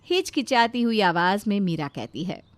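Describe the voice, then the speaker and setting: A woman's voice: mid-range voice, sing-song, moderate pace, slight background noise. A woman reading scripted narration for a family moral story.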